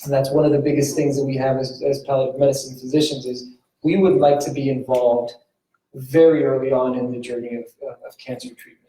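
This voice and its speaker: A man's voice: medium pitch, level tone, fairly quick, warm tone, recorded from a distance. A man who is a palliative medicine physician, speaking earnestly on a panel and repeating words as he searches for them. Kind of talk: panel answer. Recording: roomy hall from off mic.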